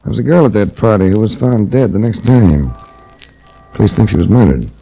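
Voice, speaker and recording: An American actor playing a wry, tough private investigator in 1940s radio detective style. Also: male voice, fairly low pitch, animated, a little fast, vocal fry, warm tone, breathy edge, soft background music, exaggerated voice. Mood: dry, teasing. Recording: noisy restored 1940s radio recording.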